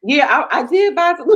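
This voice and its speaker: A woman's voice: high-pitched, muffled recording. A woman hosting a podcast, chatting casually in a folksy, colloquial way. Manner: folksy, colloquial, frequent backchannels. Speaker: podcast host. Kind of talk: casual chat.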